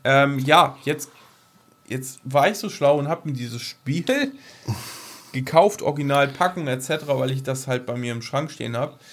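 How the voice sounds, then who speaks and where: A man's voice: mid-range voice, animated, measured pace. A man co-hosting a podcast, chatting casually and colloquially and rambling along. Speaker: podcast co-host. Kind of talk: casual chat about science fiction.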